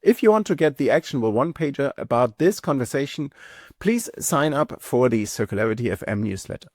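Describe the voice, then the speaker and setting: A man hosting a podcast interview, reading a lively intro and asking questions in a softer, hesitant way. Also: medium pitch, brisk, dry recording, studio quality. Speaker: podcast host.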